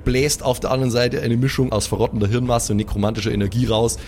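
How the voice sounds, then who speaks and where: A man's voice: mid-range voice, little pitch movement, fast talker. Bavarian-accented man narrating, some background noise. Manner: colloquial, vividly descriptive. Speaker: game master. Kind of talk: improvised narration of a tabletop game.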